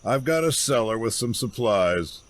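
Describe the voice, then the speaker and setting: An actor playing a gruff, self-reliant woodsman with a folksy, sardonic, grudging way of talking in a fantasy audio drama. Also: medium pitch, lively intonation, normal speed, close to an everyday voice.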